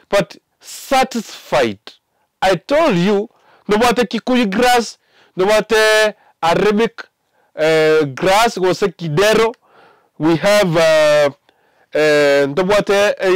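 A young Kenyan man with a Kenyan accent, giving animated casual commentary to camera from a small indoor studio, with many fillers and mixed languages. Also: high voice, dry recording. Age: young adult. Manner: animated, mixing English and Ekegusii, many fillers.

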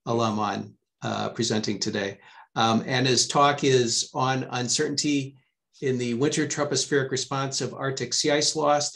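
A middle-aged man hosts an online science meeting. His pitch is average and his style is informal, as a moderator handing over between speakers on a video call. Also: fairly bright tone.

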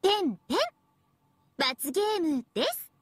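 A woman's voice: extremely high pitch, lively intonation, a little slow, no echo. A woman voicing a smug, teasing, villainous young schoolgirl in a Japanese mobile game, with a cutesy, theatrical manner. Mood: menacing, playful.

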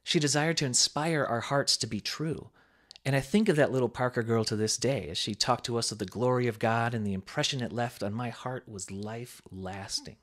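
Guy reading out an old sermon, steady emphatic preacher rhythm, mid pitch. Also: normal speed.

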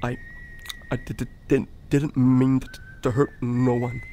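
A man acting in an audio drama as a gentle, frightened, childlike convict who speaks haltingly with a heavy stammer. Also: medium pitch, level tone, a little hiss or hum, lightly acted. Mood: remorseful. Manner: halting, simple childlike speech.

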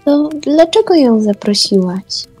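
A curious young child played by a woman with a high, mellow voice and drawn-out, questioning delivery. It is an amateur Christmas radio play. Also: big pitch swings, soft background music. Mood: puzzled. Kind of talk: amateur school audio drama.

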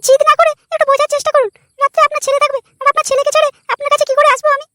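A dutiful young daughter-in-law from a comedy cartoon. The voice is extremely high, squeaky and bright, racing along in a placating, pleading way. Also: female voice, level tone, racing. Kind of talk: comic cartoon dialogue.

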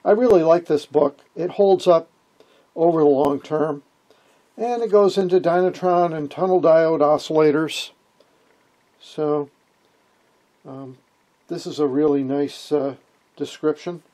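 An older man with a husky voice gives an informal electronics tutorial in a folksy, wryly joking way. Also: on the high side, measured pace, pauses often, mellow. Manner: folksy, wry joking explanations. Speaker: amateur radio hobbyist, video host. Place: small wood-panelled room indoors.

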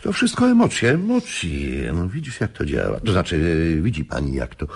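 An actor playing a fussy, excitable old-school chess coach and manager in a radio drama, speaking emphatically and repeating himself. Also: male voice, low voice, moderate pace, husky, heavily acted. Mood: excited, lecturing.

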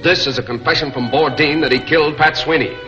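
Brisk, decisive crime-fighting publisher, muffled vintage soundtrack with prominent music. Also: male voice, on the high side, fairly even intonation. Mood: commanding. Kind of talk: 1940s film serial acting. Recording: muffled 1940s serial soundtrack, loud music.

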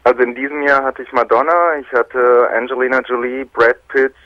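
A man's voice: medium pitch, steady tone, normal speed, fairly bright tone, no room sound, rough recording. Matter-of-fact male caller, dry sound. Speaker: caller, celebrity photographer. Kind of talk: casual phone-in conversation.

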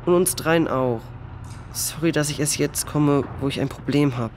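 A man's voice: on the high side, normal speed, a little hiss or hum, close to an everyday voice. A male performer playing an earnest, responsible boy who leads his friends in a children's audio drama. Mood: pleading, quiet.

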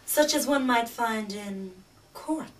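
A pompous lady scientist acted by a woman with a fairly high voice, formal, precise and overbearing. It is comic radio drama, recorded slightly away from the microphone. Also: takes it slowly, far from the mic. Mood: formal, dramatic. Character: pompous, eager female forensic scientist. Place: room in a large private home.

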